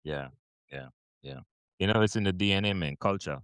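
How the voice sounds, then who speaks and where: A man's voice: deep voice, normal speed, fairly bright tone, smooth, no room sound. A man hosting a podcast, asking casual, rambling interview questions. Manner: rambling, many fillers and restarts. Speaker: podcast host.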